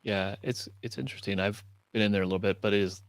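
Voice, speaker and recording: A man's voice: on the low side, fairly even intonation, fast talker, no room sound. A man hosting a casual conversation, asking questions and sharing loose remarks. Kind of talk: casual chat.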